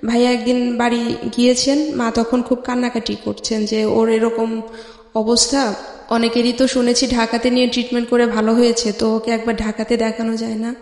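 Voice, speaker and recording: An interview guest from Bangladesh telling a personal life story in a hesitant, halting way with frequent pauses. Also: medium pitch, flat delivery, a little mellow. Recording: echoey hall with long reverb.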